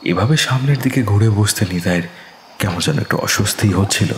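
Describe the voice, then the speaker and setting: A man narrating a scripted ghost story in a slow, suspenseful manner. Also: on the low side, animated, roomy sound, slight background noise.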